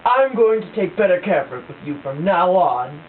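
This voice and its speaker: A woman voicing a fragile, tearful young wife in an amateur puppet show, her voice high and quavering. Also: sing-song, normal speed. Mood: anxious. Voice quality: high and quavering, breaking into sobs. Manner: pleading, overwrought amateur acting. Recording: tinny lo-fi home recording with hiss.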